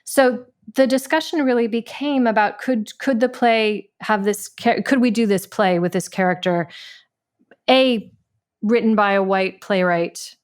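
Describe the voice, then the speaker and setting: A woman's voice: on the high side, smooth. A woman in a reflective discussion, searching for words and circling back to rephrase as she thinks aloud. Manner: halting, searching for words, repeats phrases. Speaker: member of a play's creative team.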